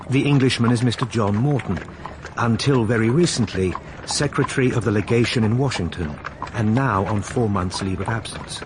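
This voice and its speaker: A man narrating a period radio drama in a wry, confiding, measured way. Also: medium pitch, background noise. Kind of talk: scripted storytelling narration.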